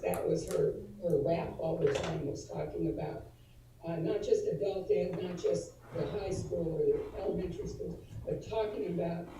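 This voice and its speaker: A woman, slightly hoarse from allergies, giving an earnest tribute at a virtual school board meeting, with frequent fillers and restarts. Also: fairly low pitch, dark tone, a little hiss or hum. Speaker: adult education administrator presenting to school board. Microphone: video call. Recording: echoey room, distant from the mic, faint ambience.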